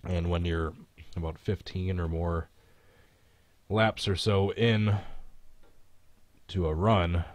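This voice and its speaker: A man's voice: deep voice, little pitch movement, takes it slowly, pauses often, no room sound. A man with a stuffy, congested voice chatting offhandedly while live streaming a racing game. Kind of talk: casual live stream chat and game commentary.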